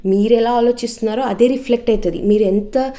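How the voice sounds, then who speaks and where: Very mellow Telugu woman coach. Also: a little high, quick pace. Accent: Telugu Indian. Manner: emphatic, repetitive phrasing with rhetorical questions; mixes English phrases into speech. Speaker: manifestation coach and channel host. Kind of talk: informal motivational advice to viewers. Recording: music under the voice.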